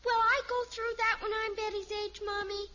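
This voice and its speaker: A woman's voice: extremely high pitch, flat delivery, moderate pace, pushed and bright. An actress playing an eager, candid, inquisitive little girl in a 1950s radio sitcom. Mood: wide-eyed, curious. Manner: wide-eyed, candid questioning. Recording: muffled old radio recording, faint hiss.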